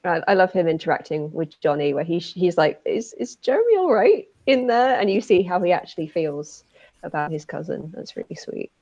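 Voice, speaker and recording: A woman's voice: fairly low pitch, sing-song, a little fast, a little mellow, no echo. A woman hosting a livestream Q&A in casual chat, recorded with a slightly dull, lo-fi sound. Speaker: livestream Q&A host.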